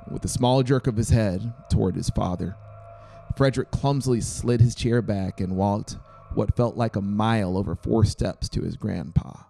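A dark, creaky-voiced man in his thirties reads a story aloud. His voice sits low, and he is close to the mic in a small studio, narrating an audiobook. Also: normal speed. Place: small brick-walled home studio. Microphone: close dynamic mic on a boom arm.

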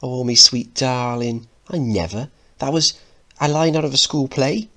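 Man as a moody, high-pitched teenage boy. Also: measured pace, bright, forceful tone. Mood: outraged. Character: moody, defensive fourteen-year-old working-class boy. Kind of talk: dramatic play reading.

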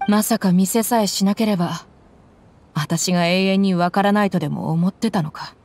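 A woman's voice: medium pitch, normal speed, a little hiss or hum, natural voice. Woman voicing a blunt young mercenary. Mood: tough. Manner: rough, casual, relaxed speech. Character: tough young female mercenary, blunt and easygoing.

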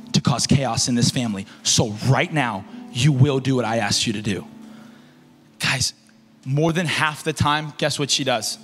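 A young man preaching to a youth conference on a large stage into a handheld mic, alternating shouted emphasis with low, soft asides. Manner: paces and gestures across the stage. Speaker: youth pastor. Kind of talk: energetic sermon. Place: large stage in a concert hall. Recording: reverberant hall with faint music.

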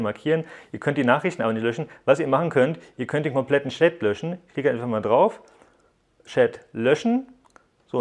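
A young man giving a casual, conversational hands-on review to viewers, explaining things informally as he goes. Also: mid-range voice. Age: young adult. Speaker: tech app reviewer. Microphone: lapel mic.

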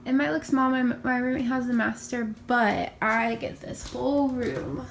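A young woman with a raspy morning voice, chatting casually through a tour of her small bedroom, filmed on a handheld phone. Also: on the high side, unhurried, background noise. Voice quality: raspy from just waking up. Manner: chatty, upbeat, many ums and likes. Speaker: vlogger. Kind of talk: casual room tour vlog. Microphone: handheld phone camera.

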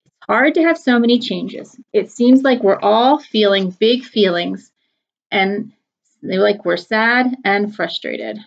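A gentle father speaking slowly to calm his family, read by a woman in her own voice. The recording is dull and lo-fi. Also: mid-range voice, vocal fry, recorded from a distance. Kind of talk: storybook reading.

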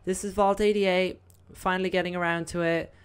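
A young woman with a slightly croaky voice, chatting casually through gameplay commentary with lots of fillers. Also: on the low side, little pitch movement, brisk. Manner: chatty, rambling, many fillers. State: croaky, voice recovering. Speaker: gaming video host.

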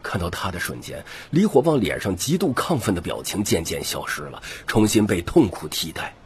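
一名男性有声书播讲者，以戏剧化的方式照稿讲述故事。. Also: male voice, sits low, very animated, moderate pace, slight background noise. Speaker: audiobook narrator. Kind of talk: scripted dramatic story narration.

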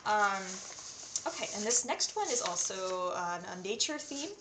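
A woman in her twenties with a North American accent speaks at a middling pitch as an online tutor. She is in a small, echoey room at home, and faint noise sits behind her voice. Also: lively intonation, a little slow. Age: 20s. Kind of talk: self-introduction to camera with poem readings. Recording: roomy echo, faint background noise.

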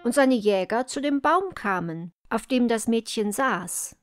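A woman narrates a fairy tale from a script. She takes it at a slow, measured pace with a slightly high pitch. The recording is dry, with hardly any room sound. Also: lively intonation, no echo, faint music behind. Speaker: storyteller.

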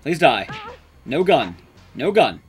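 A man's voice: medium pitch, sing-song, takes it slowly, soft background music. A young man giving casual, sweary, sarcastic live commentary as he speedruns a game. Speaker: speedrun streamer. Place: indoor room at home, streaming setup.

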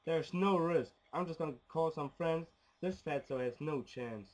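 A man's voice: a little high, moderate pace, a little mellow, natural voice, poor audio. A man playing a proud, hot-headed gangster father in a cocky manner in an amateur radio play. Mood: stubborn.

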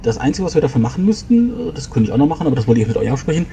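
Male role-player, soft dark tone, rambling explainer, noisy home voice chat. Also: medium pitch, very animated, racing, gravelly. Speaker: tabletop role-playing player. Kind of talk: in-character planning talk, improvised.

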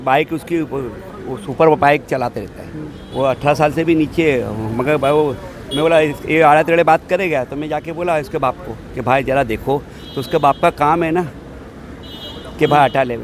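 A man's voice: on the high side, normal speed. An older man, a shopkeeper, defending himself to a reporter on a city street at night, talking in a heated, rambling way into a handheld mic. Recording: noisy street.

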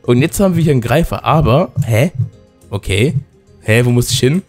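A hoarse man with a cold, giving excited let's-play commentary and often breaking into loud outbursts. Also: mid-range voice, fairly quick, soft background music. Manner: excitable, loud outbursts, keeps addressing viewers. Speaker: gaming YouTuber.

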